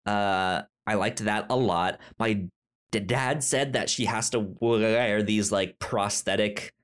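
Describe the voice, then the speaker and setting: A man co-hosting a casual pop-culture podcast, talking in a halting way with repeated words and trailing sentences. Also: fairly low pitch, steady tone, clean tone. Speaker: podcast co-host.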